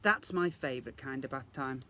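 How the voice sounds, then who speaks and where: A man reading a children's story aloud in the expressive style of a teacher reading to young children. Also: mid-range voice, moderate pace, slight background noise.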